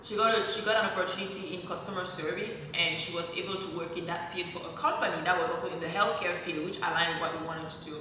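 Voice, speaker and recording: A woman's voice: fairly low pitch, fairly even intonation, quick pace, few pauses, pushed and bright. A woman from Cameroon, a French speaker, tells a personal story to viewers in a conversational way, acting out her own thoughts. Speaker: online content creator. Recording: very echoey room, distant mic, faint noise.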